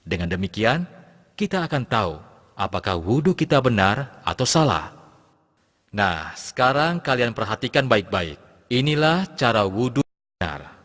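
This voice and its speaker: A man plays a patient male teacher of religion in an educational cartoon. He speaks at a medium pitch in a measured, instructive manner. A long hall-like reverb trails the voice. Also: fairly bright tone. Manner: instructive, measured address to pupils. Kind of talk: scripted cartoon lesson.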